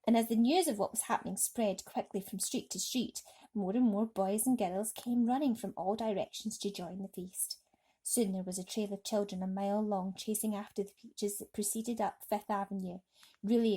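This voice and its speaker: A woman's voice: medium pitch, fairly even intonation, normal speed, dark tone, slightly rough. A woman narrating a children's bedtime story expressively. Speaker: storybook reader.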